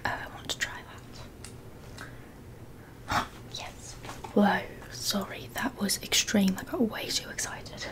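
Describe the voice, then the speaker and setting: A young woman whispering softly and reassuringly into a close microphone in an ASMR roleplay. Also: on the high side, rough recording. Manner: soft whispering, gentle and reassuring. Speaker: ASMR creator.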